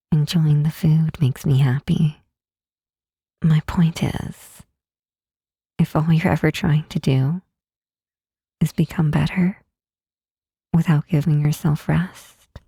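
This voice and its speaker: Woman playing a hushed, husky, gentle demon queen. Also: deep voice, no echo, studio quality, heavily acted. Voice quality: soft, breathy, husky. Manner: slow, tender, soothing, with deliberate pauses. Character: gentle, reassuring demon queen comforting a weary hero. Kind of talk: intimate ASMR roleplay. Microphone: close mic, dry.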